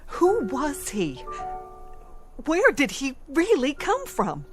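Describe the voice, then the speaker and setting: Slow, wondering radio drama heroine. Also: female voice, high voice, wildly swooping pitch, heavily acted. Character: wondering, concerned woman puzzling over a mystery. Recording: music behind the voice.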